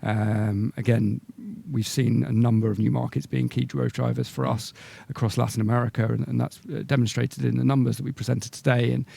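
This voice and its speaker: A man speaking as a company executive, answering questions on a business call in measured corporate language, with hesitant repetitions. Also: medium pitch, flat delivery, creaky, warm tone, dry recording.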